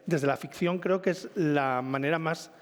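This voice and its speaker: A man's voice: on the high side, a little slow. A man hosting an informal literary interview in a chatty, rambling, self-correcting way, in a reverberant hall. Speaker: event host, interviewer. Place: live event in a reverberant hall. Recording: echoey hall, long reverb.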